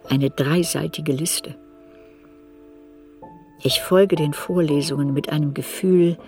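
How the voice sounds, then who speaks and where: An actress playing an older woman writer who soberly looks back on her youth in a measured, reflective radio drama narration. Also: low-pitched. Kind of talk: literary radio drama narration in first person.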